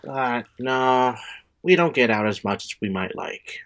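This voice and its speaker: A man voicing a mild-mannered, awkward homebody creature in an audio drama, raspy and sheepish. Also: medium pitch, expressive, a little slow, a bit bright.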